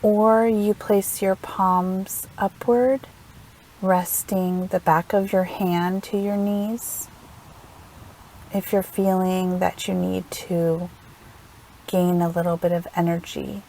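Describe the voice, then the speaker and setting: A woman leading a guided meditation in a gentle, soothing manner, unhurried and leaving long pauses between phrases. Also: lowish voice, flat delivery, a little hiss or hum, low-quality recording. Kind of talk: guided meditation, slow instructional narration.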